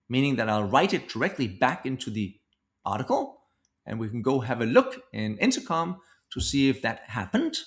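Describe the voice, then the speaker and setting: A man presents a software walkthrough in an informal, conversational way. His mid-pitched voice moves around a lot in intonation and has a clear creak to it. He explains things step by step, as a product demonstrator would. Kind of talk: conversational product demo narration.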